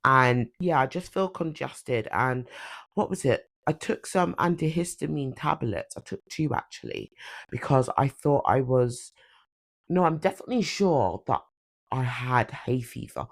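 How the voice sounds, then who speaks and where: A young woman hosting a solo podcast, chatting in a bubbly, self-correcting way while congested with a cold. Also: sits low. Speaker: podcast host. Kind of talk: casual solo podcast chat.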